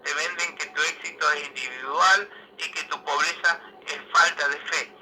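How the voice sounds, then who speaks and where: A man's voice: on the high side, steady tone, normal speed, sharp tone, slight background noise, low-quality recording. A man reads a political manifesto aloud in a declamatory, emphatic way, as a commentator would.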